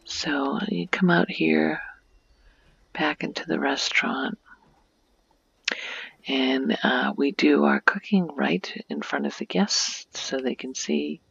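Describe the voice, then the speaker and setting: A woman softly narrating an ASMR video game tour in a breathy, near-whispered voice, explaining gently and without hurry. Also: sits low, no echo, highs cut off.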